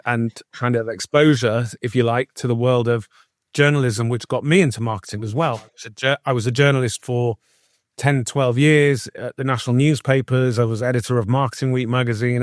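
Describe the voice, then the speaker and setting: A man's voice: medium pitch, dry recording. Give me a middle-aged man hosting an interview, chatty and enthusiastic, recorded dry and close. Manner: warm and chatty, filler-heavy, asks long questions. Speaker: interview host, former journalist.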